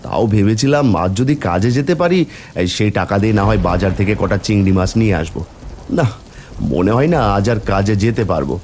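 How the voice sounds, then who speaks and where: A man's voice: lowish voice, very animated, brisk, a little mellow, slight background noise. A man playing a poor, humble village father in a cartoon folk tale, plaintive and deferential in manner. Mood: weary complaining. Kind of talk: cartoon fairy-tale dialogue acting.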